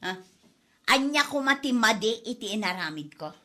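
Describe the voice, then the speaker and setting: A woman's voice: fairly high pitch, animated, moderate pace, bright, forceful tone. A woman with an Ilocano accent playing a domineering, threatening heavyset wife who scolds her husband in a comedy drama podcast. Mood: scolding.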